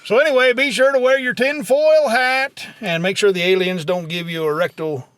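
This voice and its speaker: A man talks in a somewhat high, dry-recorded voice. He hosts a comedy podcast with folksy, unpolished country phrasing and an easy bantering style. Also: steady tone, moderate pace, a bit bright, no echo.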